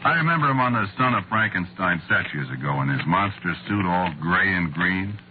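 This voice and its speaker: An American actor playing a wry, put-upon screenwriter in a 1940s radio drama, talking in a halting, conversational, sardonic way. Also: male voice, sits low, animated. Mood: wry, confiding. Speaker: narrator and lead actor. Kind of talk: 1940s radio acting, conversational first-person narration. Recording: muffled, noisy old radio recording.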